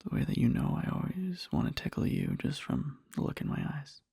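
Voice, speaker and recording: A man playing an adoring boyfriend in a soft ASMR roleplay, murmuring slowly and tenderly to a sleeping partner in a breathy, husky whisper. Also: fairly high pitch, wildly swooping pitch, dry recording, studio quality, exaggerated voice. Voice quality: breathy, husky, with creaky fry. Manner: slow, halting, tender murmuring.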